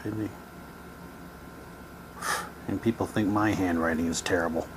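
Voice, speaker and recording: Male, low register, very unhurried; casual unboxing host with a wry, halting delivery. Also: steady tone, noisy background. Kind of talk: casual unboxing commentary, reading captions aloud.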